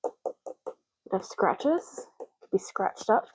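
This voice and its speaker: A soft-spoken woman doing an ASMR roleplay as a clerk, explaining gently and unhurriedly in short, broken phrases. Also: mid-range voice, big pitch swings, very slow, a little hiss or hum, rough recording. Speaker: ASMR creator playing an ID card clerk.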